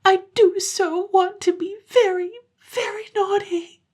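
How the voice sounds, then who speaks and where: A stubborn little storybook girl, acted by a woman reader, full of tearful yearning. The voice is high and swooping, with a childlike, insistent sob. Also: lively intonation, moderate pace, husky. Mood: sobbing, yearning.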